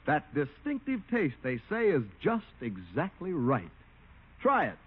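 High, lively American radio announcer. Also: male voice, measured pace, slightly rough. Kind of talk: scripted 1950s radio announcing and sponsor pitch. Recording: dull old radio recording, music behind.

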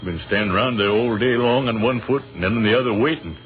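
Actor as modest American captain, tinny vintage radio. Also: male voice, on the low side, quick pace, natural voice. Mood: relieved, grateful. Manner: modest, polite, plain-spoken. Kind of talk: old-time radio drama acting. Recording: muffled, narrow-band old radio recording.

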